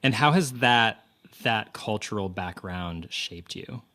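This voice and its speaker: A man hosting an interview, asking calm questions and murmuring his agreement. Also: lowish voice, takes it slowly. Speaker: host.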